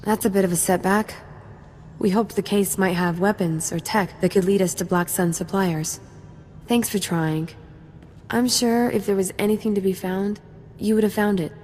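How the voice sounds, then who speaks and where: A woman's voice: lowish voice, darkish tone, in a large hall, a little hiss or hum, close to an everyday voice. A woman playing a confident, wry young special forces sergeant in a video game, casual and playful. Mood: friendly, businesslike. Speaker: soldier giving mission briefing. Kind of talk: scripted game dialogue.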